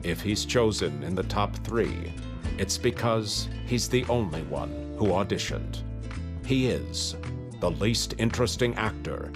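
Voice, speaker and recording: A man narrates a mock-grand parody commercial with deadpan seriousness. His voice is fairly low with sweeping intonation, and plucked guitar music plays clearly behind him. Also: measured pace. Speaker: commercial announcer.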